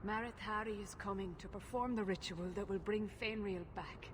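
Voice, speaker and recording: Worried, earnest mother played by a woman, mid-pitched. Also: steady tone, moderate pace, noisy background, lightly acted. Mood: grave, worried. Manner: earnest, formal, anxious. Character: worried adult mother pleading for her son. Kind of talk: video game cutscene acting.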